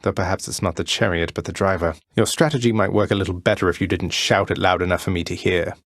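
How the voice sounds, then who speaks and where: An actor playing a wry young prince of the underworld in a video game, courteous with a dry, understated sarcasm. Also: male voice, low voice, steady tone, fast, a bit bright, no room sound. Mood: dry, taunting. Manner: dry, understated, politely sardonic. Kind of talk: video game character voice lines.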